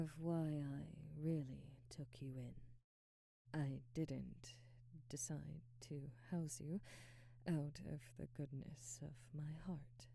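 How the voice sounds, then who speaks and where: Low, dark-voiced vampire aristocrat, acted by a woman. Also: no echo. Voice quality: soft, breathy, whispery. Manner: measured, formal, old-fashioned diction. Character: proud, ambitious female vampire aristocrat, remorseful, domineering captor. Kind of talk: intimate ASMR roleplay, scripted monologue.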